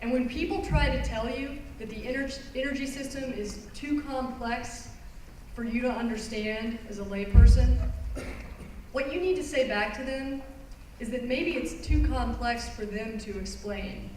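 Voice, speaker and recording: A woman lectures to an audience in an earnest, explanatory way, stressing key phrases. Her voice sits fairly high. The recording is far from her, in a very echoey hall with faint noise. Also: normal speed, a little mellow. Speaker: environmental lawyer giving a talk. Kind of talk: public presentation. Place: conference hall.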